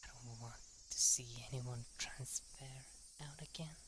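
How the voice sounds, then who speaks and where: A man voicing a sweetly menacing teenage girl in a soft, breathy whisper. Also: medium pitch, steady tone, a little slow, noisy background, lightly acted. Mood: eerie sweet.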